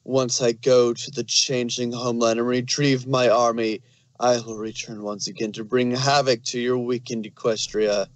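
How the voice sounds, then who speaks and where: A man voicing a haughty, menacing female dark pony villain, formal and grandly threatening, in an amateur fan audio drama. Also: medium pitch, flat delivery, moderate pace, dry recording, close to an everyday voice. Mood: gloating, threatening.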